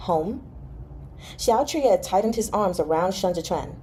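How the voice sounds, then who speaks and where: A woman with a mellow, mid-pitched voice hosts a novel read-along. She moves between expressive narration and chatty, excitable fan commentary. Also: a little slow, slight background noise. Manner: giggly, excitable in asides. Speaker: live read-along host.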